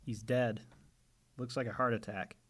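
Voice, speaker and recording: A man's voice: mid-range voice, little pitch movement, unhurried, lightly acted. A man playing a formal, brusque and reserved businessman in an amateur radio play. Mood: brusque, composed. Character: reserved, brusque gentleman shipping company owner. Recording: faintly noisy home recording.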